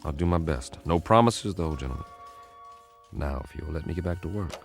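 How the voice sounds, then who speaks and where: A man's voice: extremely low pitch, brisk, vocal fry, heavily acted. A man playing a wry, optimistic government codebreaker who also narrates a radio drama, with a folksy, persuasive manner. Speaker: lead actor and first-person narrator. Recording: music underneath.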